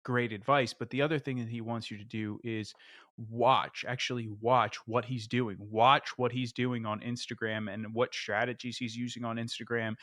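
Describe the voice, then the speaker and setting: A man's voice: medium pitch, level tone, normal speed, a bit bright, dry recording, clean studio sound. A man hosting a podcast, talking in a hesitant, enthusiastic way and often repeating words. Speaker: podcast host. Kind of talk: casual interview conversation.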